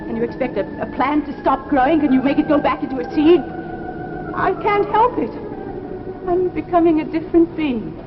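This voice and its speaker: An actress as a tormented wife in a vintage 1950s sci-fi drama, high-pitched and halting, loving yet resolute. The old recording is muffled and very noisy. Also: female voice, lightly acted. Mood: distressed. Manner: halting, emotive, repeats phrases. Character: anguished wife transforming into a superior being, loving but resolute. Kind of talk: 1950s television drama acting.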